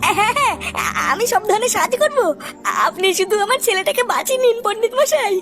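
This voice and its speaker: A woman voicing an excitable, insistent village father in a comedy cartoon. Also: extremely high pitch, fast talker, faint music behind. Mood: pleading. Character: squeaky, excitable village father, indignant and pleading.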